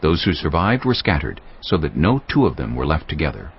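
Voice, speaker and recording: A man's voice: a bass voice, big pitch swings, brisk, breathy edge, highs cut off. A man reading scripted Bible narration as the narrator of an audio drama.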